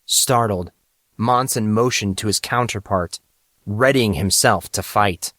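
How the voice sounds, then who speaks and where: Slow-paced man narrating a fantasy story. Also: on the low side, fairly bright tone, dry recording, clean studio sound. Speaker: audiobook narrator.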